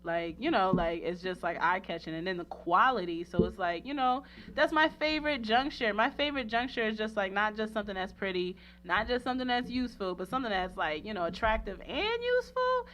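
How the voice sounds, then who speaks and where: Casting: female talk radio host, mid pitch, casual and gushing, rambling slangy delivery, light noise and hum behind. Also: expressive, brisk, hardly any pauses, fairly bright tone. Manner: effusive, slangy, rambling, frequently repeats herself. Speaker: radio show host and interviewer. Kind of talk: casual promotional interview chat.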